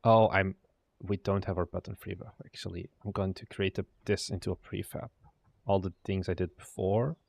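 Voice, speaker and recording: A man's voice: deep voice, steady tone, moderate pace, gravelly, darkish tone, dry recording. A young man, a game developer thinking aloud on a casual livestream, muttering to himself, trailing off and swearing now and then. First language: Dutch. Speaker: indie game developer streaming.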